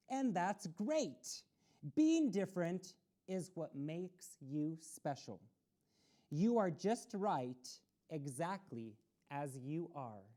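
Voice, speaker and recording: Low-pitched, expressive woman reading to children. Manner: simple, instructive phrasing with questions posed to young listeners. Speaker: story time host reading a picture book to children. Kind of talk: read-aloud storytime with explanatory asides.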